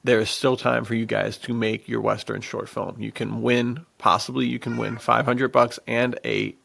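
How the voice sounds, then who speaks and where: A man co-hosting a podcast, chatting casually and pitching things in laid-back slang. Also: level tone, moderate pace, creaky, fairly bright tone, slight background noise. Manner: laid-back slangy, says "dude" often. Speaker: podcast co-host. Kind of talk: casual promotional podcast chat.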